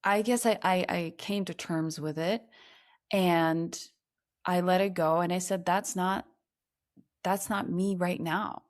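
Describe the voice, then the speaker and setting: Podcast guest: woman from Ukraine, thirties, slightly low voice, earnest, reflective storytelling. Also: steady tone. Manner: reflective, earnest, slows down on emotional points. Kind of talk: personal storytelling in conversation.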